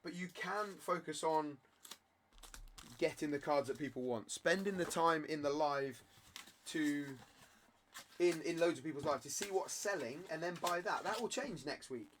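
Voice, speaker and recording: A man who streams and sells cards, talking earnestly to camera in a rambling way, his voice croaky from a cough. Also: a little high, normal speed, fairly bright tone, slight background noise. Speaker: card-selling live streamer. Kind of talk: candid motivational talk to camera.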